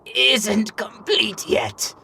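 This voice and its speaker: A man voicing a solemn guardian in an animated fantasy, with a grave, declaiming delivery. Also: high-pitched, extremely slow, gravelly, slight background noise, exaggerated voice. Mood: explaining gravely.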